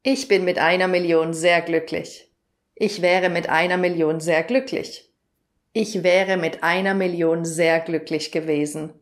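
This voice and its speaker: Low-voiced woman teaching grammar. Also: fairly even intonation, a little slow. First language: German. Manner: clear, explaining, asks the viewer questions. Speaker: German teacher. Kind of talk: grammar lesson.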